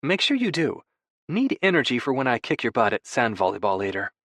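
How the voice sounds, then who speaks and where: A man voicing a tender, playful young wife with a soft, teasing, affectionate manner in audiobook dialogue. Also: mid-range voice, sing-song, fairly quick, no room sound. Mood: playful, warm.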